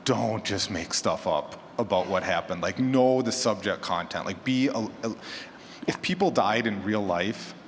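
A man with a fairly low voice gives his opinions in a casual panel discussion. He is conversational but emphatic. The recording has hall echo and faint noise behind him. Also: a little slow. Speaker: horror fiction podcaster on a panel. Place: large echoey room at a convention panel.

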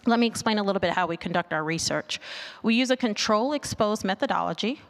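A woman's voice: mid-range voice, lively intonation, fast. A woman giving an informal conference presentation in a conversational way, asking rhetorical questions and checking in with the listeners. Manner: conversational, asks rhetorical questions, often adds "right?". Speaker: conference speaker, marketing research executive. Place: large indoor conference hall. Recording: long hall reverb from venue sound system.

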